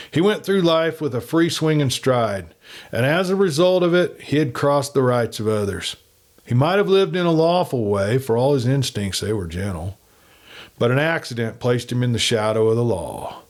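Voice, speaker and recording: A man narrates a western tale in a middle-pitched voice. His delivery is expressive and dramatic, that of a storyteller reading an audiobook.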